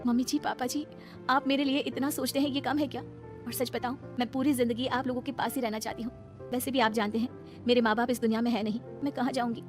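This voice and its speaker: Young widowed daughter-in-law, female actor, cartoon melodrama: high-pitched, fast, self-effacing, sorrowful, over music. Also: level tone, natural voice. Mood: resigned, gentle. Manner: pleading, deferential. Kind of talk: Hindi cartoon family melodrama. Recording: music behind the voice.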